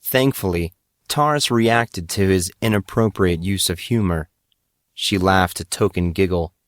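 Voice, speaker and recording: A man narrates a dramatic story in a lowish voice. He reads very slowly and earnestly, with measured phrasing, in a dry, close space. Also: dry recording. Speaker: audiobook narrator.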